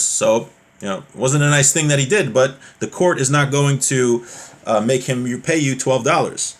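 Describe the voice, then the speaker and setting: A man's voice: medium pitch, fairly bright tone. A man teaching a religious class informally, reading from a text and explaining it conversationally with everyday examples.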